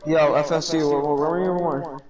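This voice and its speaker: A man with a somewhat high voice talking in a rambling, slangy way. He teases and chats during an online game, with the game's sounds loud in the background. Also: steady tone, brisk, distant mic, dull audio, crowd noise. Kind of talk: casual gaming chat.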